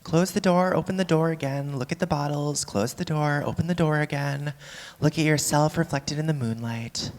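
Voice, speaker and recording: Woman acting a deadpan, melancholy vlogger, echoey hall. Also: deep voice, lightly acted. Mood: musing. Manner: measured, deadpan, musing. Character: melancholy, earnest, philosophizing online cabinet-review vlogger. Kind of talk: live staged play monologue. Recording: echoey theatre hall, live stage.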